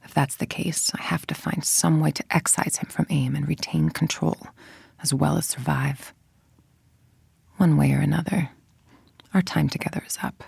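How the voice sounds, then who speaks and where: A woman playing a cold, ambitious scientist villain in a video game, speaking in a measured, precise, menacing way. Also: low voice, steady tone, vocal fry, a little mellow, breathy edge, no room sound, in a whisper, heavily acted. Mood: guarded, scheming. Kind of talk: video game voice acting, monologue.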